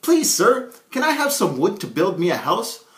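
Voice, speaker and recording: A man voicing a small, excitable male piglet in a storybook reading, with a shrill, squealing delivery. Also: moderate pace. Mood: pleading. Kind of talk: storybook character voice.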